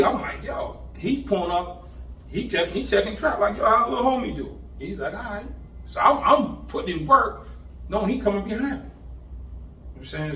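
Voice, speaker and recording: A man in his fifties from Boston, telling his life story in animated street slang, rattling off places. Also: sits high. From Boston, Massachusetts. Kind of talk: casual storytelling interview. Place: indoor room on location. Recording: echoey room, far mic, faint background hiss.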